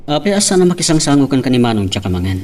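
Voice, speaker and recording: Actor as a fast-talking earnest man. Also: medium pitch, big pitch swings, darkish tone, natural voice. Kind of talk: radio drama acting in Ilocano.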